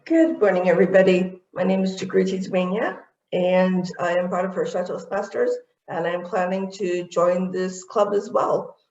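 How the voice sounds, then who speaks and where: A woman with a somewhat low voice introduces herself cheerfully and at a brisk pace. The sound is echoey and dull, as over a video call. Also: a little mellow. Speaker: first-time guest. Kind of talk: self-introduction at a club meeting.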